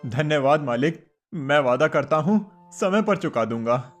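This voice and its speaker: A man's voice: fairly high pitch, lively intonation, measured pace, faint music behind. A man voicing a poor, desperate and devoted village cobbler, humble and earnest, in a cartoon story. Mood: grateful.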